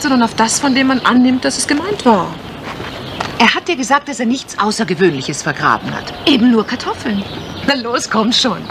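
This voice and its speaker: A clever, eager young wife in an old radio mystery. Her voice sits fairly high and swings animatedly through banter and exclamation. The vintage recording carries clear noise. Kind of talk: retro German radio crime drama acting.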